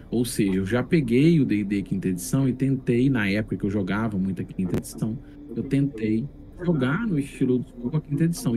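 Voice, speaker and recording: A man's voice: mid-range voice, dark tone. A middle-aged man with a Brazilian accent explaining things in casual, articulate podcast talk, often checking for agreement. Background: faint music.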